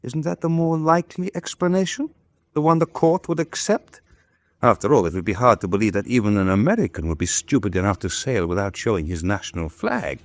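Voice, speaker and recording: Cold, low-voiced naval officer acted by a man. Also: a little fast, no room sound, lightly acted. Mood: cold, insinuating. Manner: cold, clipped, insinuating. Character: bitter, insubordinate U-boat first officer, wronged husband. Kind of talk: radio drama acting.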